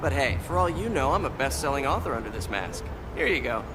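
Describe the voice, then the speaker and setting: Male actor as young wisecracking game superhero, sarcastic, very animated pitch, music behind. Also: fast, natural voice. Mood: joking. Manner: flippant, sarcastic.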